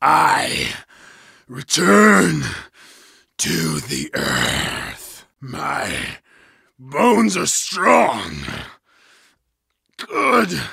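A man voicing a savage, bloodthirsty berserker warrior in a video game, bellowing battle cries and growled threats in a gravelly voice. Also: a little high, wildly swooping pitch, drawn-out words, long pauses, bright, forceful tone, no echo, clean studio sound, full character voice. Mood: grim. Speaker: game character voice. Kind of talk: video game combat voice lines. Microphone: close studio mic.

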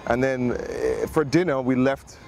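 A man's voice: medium pitch, fairly even intonation, a little slow, vocal fry, darkish tone, faint music behind. A man from Jamaica speaking as a tourism official in an outdoor beach interview, upbeat and reassuring. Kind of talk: casual on-location interview. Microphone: lapel mic. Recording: outdoor beach ambience behind.

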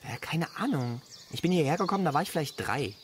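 A man's voice: on the high side, expressive, quick pace, lightly acted. An actor playing a young West German man, hesitant, defensive and sardonic, in a naturalistic radio drama. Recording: outdoor ambience with birds, clear background noise. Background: birdsong and lakeside nature ambience.

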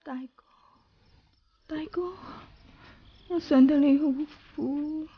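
A woman playing a proud swordswoman and wife in a radio drama, expressive and dramatic. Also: high voice, slow pace, narrow, tinny audio. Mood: dreamy, longing. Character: proud swordswoman, wife, tender then sternly indignant. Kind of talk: Cantonese radio drama acting.

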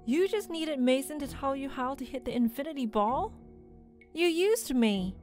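High-voiced, betrayed young woman. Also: animated, moderate pace, darkish tone, faint music behind. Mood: hurt, angry. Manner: intense, emotional, accusing. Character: betrayed, furious young woman robot golfer. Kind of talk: anime-style video game voice acting.

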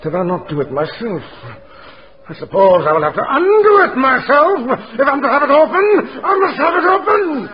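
Casting: commanding, eccentric old laird, very high voice; dull radio drama recording. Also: male voice, sing-song, moderate pace, pauses often, a little hiss or hum. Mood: imperious, irritable.

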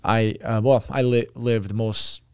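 A man in his thirties from Turkey with a Turkish accent, a podcast guest telling his story in relaxed, chatty fashion at a studio boom mic. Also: takes it slowly, clean tone, no room sound. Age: 30s. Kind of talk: casual interview chat. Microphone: studio boom mic with headphones.

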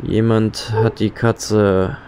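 An actor playing a hapless, obedient sheriff's deputy in a German horror radio play, deferential and hesitant. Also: male voice, fairly low pitch, flat delivery, extremely slow, a little mellow, smooth. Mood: subdued, apologetic. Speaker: actor in an audio drama.

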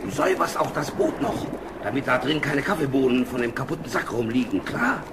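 Man acting a bossy crook, mid-pitched. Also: lively intonation, a little fast, very noisy, natural voice. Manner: rough, ordering others about. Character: bossy, crafty crook and harbour tavern keeper. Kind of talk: radio play acting.

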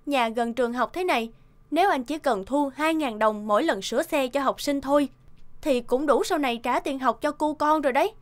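A woman's voice: high-pitched, a little fast, lightly acted. A woman voicing a nagging, practical young wife in a cartoon, scolding in an exasperated way. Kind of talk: cartoon voice acting.

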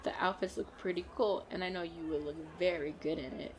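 A woman playing a playful, excitable girlfriend in a chatty roleplay audio, with a bubbly, expressive delivery. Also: on the low side, fairly quick, a little hiss or hum, close to an everyday voice. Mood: excited, teasing. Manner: bubbly, expressive, frequent giggles and exclamations. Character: playful, excitable, pleading girlfriend out costume shopping.

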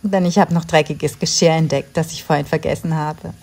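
A woman in her thirties calmly narrating a home cleaning routine in a voice-over, giving step-by-step tips straight to the viewer. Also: low-pitched, a little fast. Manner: calm, instructive, talks directly to the viewer. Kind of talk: calm scripted voice-over narration.

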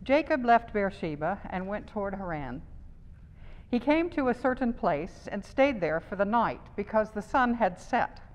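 Older woman, lay reader, measured scripture reading, mid-pitched, long church reverb. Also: sing-song. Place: small church chancel, indoors. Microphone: wearing a face mask, far camera.

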